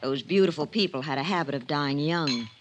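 An actress playing a brittle, tough magazine writer in an old-time radio drama, sardonic, slangy and sly. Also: female voice, deep voice, a little fast, noisy background, close to an everyday voice.

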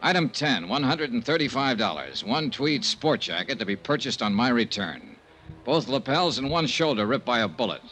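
A man's voice: mid-range voice, sing-song, moderate pace, a bit bright, low-quality recording. An actor playing a tough, shrewd male investigator in an old time radio mystery, speaking in a clipped, knowing way. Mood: terse narrating. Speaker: lead actor and narrator. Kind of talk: old time radio detective acting and narration. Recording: vintage radio recording with music.

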